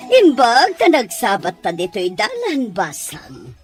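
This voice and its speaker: A woman's voice: on the high side, wildly swooping pitch, takes it slowly, over music, exaggerated voice. A woman playing a scheming, disapproving mother in an audio drama, speaking with disdain and emphasis. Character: scheming, disapproving mother, middle-aged or older. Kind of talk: Ilocano radio-style drama acting.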